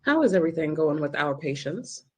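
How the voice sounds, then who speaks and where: A woman's voice: low-pitched, very animated, a little fast, dark tone, breathy edge, heavily acted. A woman playing a prim, proper older nurse, coaxing but firm, at a table read. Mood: pointed, polite.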